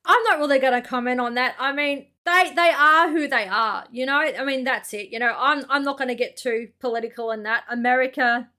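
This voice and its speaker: A woman's voice: high-pitched, brisk, hardly any pauses, pushed and bright. A young Australian woman hosting a reaction video, chatting casually and calling everyone "mate". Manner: chatty, says "mate" often, laughs easily.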